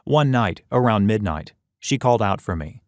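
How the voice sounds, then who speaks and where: A man reading scripted audiobook narration in a measured, expressive storytelling manner, close on the microphone. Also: lowish voice, no echo. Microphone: close studio microphone.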